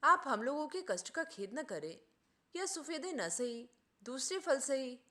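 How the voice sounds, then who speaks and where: A woman voicing an easygoing gentleman guest in a storybook, polite and reassuring. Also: sits high, very animated, unhurried, exaggerated voice. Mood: consoling.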